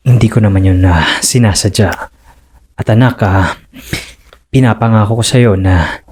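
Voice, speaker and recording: A man's voice: on the low side, darkish tone, no echo, clean studio sound. A man playing a fisherman husband and father who speaks hesitantly in a radio drama. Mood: apologetic. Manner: hesitant, stammering. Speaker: voice actor. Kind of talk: radio drama acting and narration.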